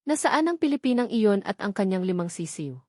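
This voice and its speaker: A woman's voice: medium pitch, sing-song, a little fast, a little mellow, no echo, clean studio sound. A woman voicing a sneering, scheming villainess with a mocking manner in a romance story. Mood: taunting.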